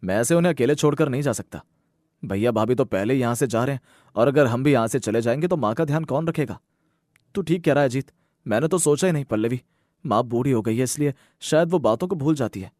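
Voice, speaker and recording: Man acting an earnest son, dry mid-pitched voice. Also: expressive, fast, a little mellow, dry recording, lightly acted. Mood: earnest, concerned. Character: earnest, dutiful grown son and exasperated husband.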